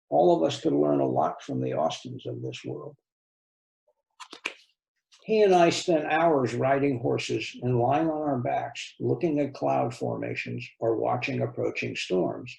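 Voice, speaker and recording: Guy reading a story out loud, super creaky gravelly voice, echoey room. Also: medium pitch. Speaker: volunteer story reader. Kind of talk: scripted narration, reading a novel aloud.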